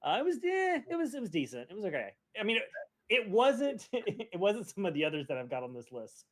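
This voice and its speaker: Male podcast host, high-voiced and expressive, chatty, restarts his own sentences. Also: a little fast. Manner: animated, self-interrupting. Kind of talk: casual podcast chat.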